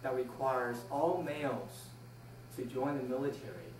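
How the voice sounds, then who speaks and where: Man giving a speech in an echoey room. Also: mid-range voice. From born in Taiwan, moved to the United States at six. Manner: deliberate, measured storytelling with pauses. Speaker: speech club member giving a speech. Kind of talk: prepared personal speech to an audience. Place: indoor room, recorded from a distance. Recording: roomy, distant mic with faint noise.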